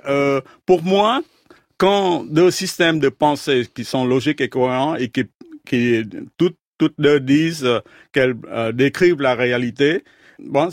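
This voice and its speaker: A man from Vietnam, a scientist guest in a radio interview, explaining his ideas in a measured way, pausing often to search for words. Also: fairly high pitch, no room sound, clean studio sound. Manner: measured, thoughtful, halting with many fillers. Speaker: guest, astrophysicist.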